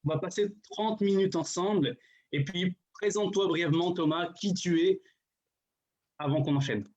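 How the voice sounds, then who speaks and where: A man opens a live online interview, thanking and welcoming his guest. His voice sits a little high for a man. He sounds grateful and warm. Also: moderate pace, highs cut off. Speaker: host.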